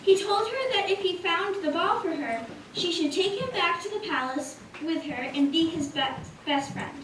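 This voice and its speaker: A woman's voice: very high-pitched, expressive, normal speed. A woman narrating a scripted children's story as part of a puppet show. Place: community puppet show in roomy space. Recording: echoey room, distant mic, clear noise.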